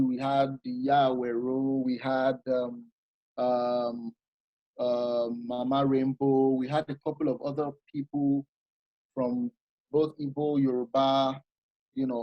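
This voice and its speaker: A man giving an informal masterclass talk, animated and explanatory, often restarting his sentences. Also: medium pitch, measured pace, dry recording, highs cut off. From Nigeria. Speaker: masterclass speaker.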